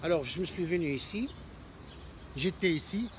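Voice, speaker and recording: Casting: Tunisian-accented man, high-pitched, explanatory tour-guide talk with emphatic repeats, noisy phone recording. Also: animated, moderate pace. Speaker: artist and cultural centre owner showing a visitor around. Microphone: visitor's handheld phone.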